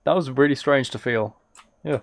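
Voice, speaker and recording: Gravelly man chatting casually while gaming. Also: mid-range voice, normal speed. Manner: relaxed, thinking aloud, muttering to himself. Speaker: streamer. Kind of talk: casual live game stream chat. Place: indoors at a computer. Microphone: close microphone.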